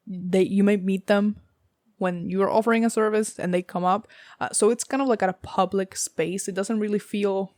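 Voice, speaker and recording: A young woman with a raspy, sore-throated voice, giving a casual tarot reading in a rambling way, full of fillers and the odd swear word. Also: mid-range voice, darkish tone, no room sound. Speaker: tarot reader.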